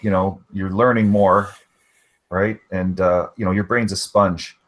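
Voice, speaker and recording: A man's voice: low voice, fairly even intonation, unhurried, a bit bright. A man in a casual online chat who rambles, filling his talk with "you know" and "right". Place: online voice hangout.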